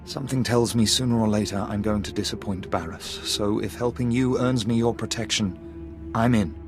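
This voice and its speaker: A man's voice: lowish voice, steady tone, moderate pace, music in the background, lightly acted. An actor playing a cowardly Imperial officer in a sci-fi game, hesitant, deferential and eager to save his skin. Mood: nervous, relieved. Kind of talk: video game voice acting.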